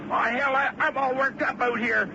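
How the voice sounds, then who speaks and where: A man's voice: very high voice, fairly even intonation, moderate pace, creaky. An actor in an old-time radio drama playing an agitated, defensive boatman giving a false report. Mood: agitated, evasive. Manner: worked up, defensive. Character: agitated man lying over a boat radio. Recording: muffled old radio drama, boat noise behind.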